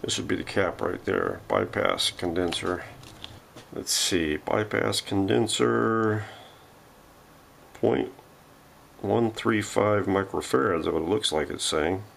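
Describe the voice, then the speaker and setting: A man's voice: sits low, animated, slow, gravelly, fairly bright tone, a little hiss or hum. A man giving a casual, unscripted hands-on workshop demonstration, thinking aloud as he works. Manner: thinking aloud while working. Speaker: host of a radio repair vlog. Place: home workshop.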